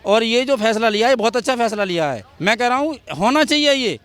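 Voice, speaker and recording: A man's voice: very high voice, lively intonation, fairly quick, fairly bright tone, dry recording. A man giving his opinion in a street interview outdoors, speaking emphatically and repeating his points. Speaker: member of the public giving opinion.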